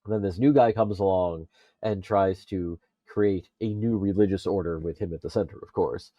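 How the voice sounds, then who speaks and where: A man on a casual pop culture podcast, talking in a halting way as he thinks aloud. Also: deep voice, dry recording, dull audio. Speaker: podcast panelist.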